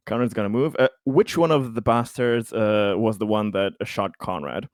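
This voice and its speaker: A man's voice: on the low side, big pitch swings, unhurried, dry recording. Man playing tabletop game, dry close sound. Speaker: player. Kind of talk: casual tabletop roleplay, narrating his character in third person.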